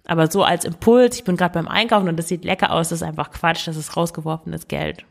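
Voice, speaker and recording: Podcast host, woman, has a cold, lowish voice; chatty and direct with the listener. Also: fairly even intonation, quick pace, gravelly. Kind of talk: casual money-saving tips podcast.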